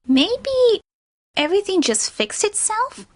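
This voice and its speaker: A woman's voice: very high voice, big pitch swings, takes it slowly, full character voice. A woman voicing a wry, uneasy female pony in a cartoon audio drama, in a dry, awkward manner. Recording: music and crowd noise under the voice.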